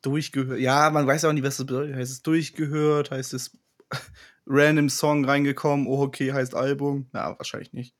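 A young man co-hosting a podcast, rambling excitedly and often laughing. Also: mid-range voice, normal speed. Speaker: podcast co-host. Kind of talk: casual chat.

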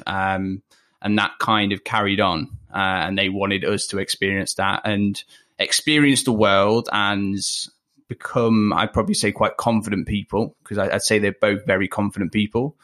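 A young man from Leicester talks loosely, his speech thick with ums and self-deprecating asides. His voice sits a little low, and he sounds like a guest on a remote podcast call from home. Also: steady tone, moderate pace, fairly bright tone. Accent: Leicester English. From England. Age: 20s. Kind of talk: casual interview chat.

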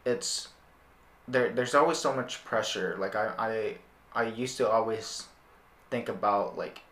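A young man hosting a casual podcast interview, speaking haltingly and repeating words as he thinks. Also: fairly low pitch, fairly bright tone. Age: young adult. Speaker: podcast host. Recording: roomy, echoing sound.

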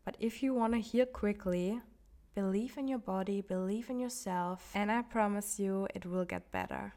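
A woman hosting a solo wellness podcast while sick with the flu, so her voice sounds off; she talks calmly and gently, with soft, nearly whispered moments. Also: mid-range voice. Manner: calm, gently encouraging self-care advice. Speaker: podcast host.

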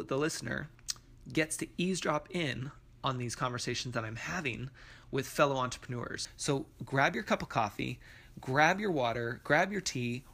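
A man's voice: mid-range voice, gravelly, pushed and bright. A man hosting an entrepreneurship podcast, speaking in an upbeat, conversational way and encouraging his guest. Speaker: podcast host. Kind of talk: podcast intro and interview.

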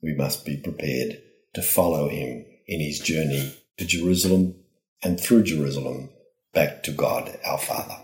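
A man delivering a scripted gospel reflection in a measured, earnest preaching manner. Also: rumbling low, darkish tone. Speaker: religious speaker giving a gospel reflection. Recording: roomy, echoing voice recording.

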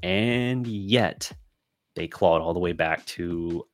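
A man hosting a solo sports podcast, thinking aloud as he answers listener questions, his voice getting scratchy and raspy. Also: low voice, takes it slowly. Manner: thinking aloud, with restarts and fillers. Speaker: podcast host.

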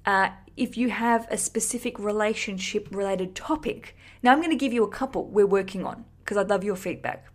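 A woman's voice: medium pitch, a little fast, hardly any pauses. Woman podcast host just chatting away, super gushy, bit sweary, kinda rambles. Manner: effusive, cheeky, sweary, rambling. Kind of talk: casual, chatty podcast monologue to listeners.

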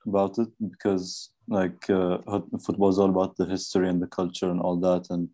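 A man's voice: low-pitched, completely flat delivery, brisk, warm tone. A man hosting a football podcast, talking casually and hesitantly with frequent fillers. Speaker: podcast host.

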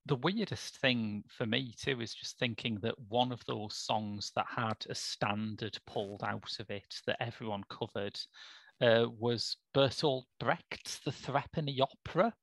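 A man's voice: mid-range voice, measured pace, vocal fry, fairly bright tone, dry recording. A man co-hosting a casual podcast chat, with a dry, wry sense of humor and a thoughtful way of talking. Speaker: podcast co-host.

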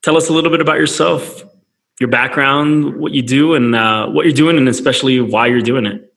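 A man's voice: medium pitch, creaky, a bit bright. A man hosting a podcast, chatting casually and laughing often with a friend he interviews. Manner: easygoing, laughs often, quick restarts. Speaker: podcast host.

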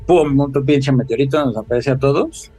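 A man's voice: mid-range voice, normal speed, warm tone. A man with a Mexican accent in casual podcast chat, playful and full of slang.

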